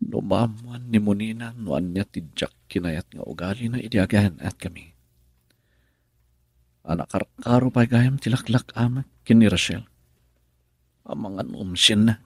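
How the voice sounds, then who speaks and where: Troubled young Ilocano husband, dry sound. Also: male voice, lowish voice, measured pace, long pauses, no echo. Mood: troubled, confiding. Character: troubled young married man confiding in an elder. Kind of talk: Filipino radio drama acting.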